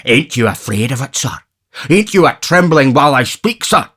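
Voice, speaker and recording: A man reading aloud, voicing a pompous, bullying parish official who lectures in a deep, impressive tone. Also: big pitch swings, moderate pace, a bit bright. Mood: stern. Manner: pompous and stern.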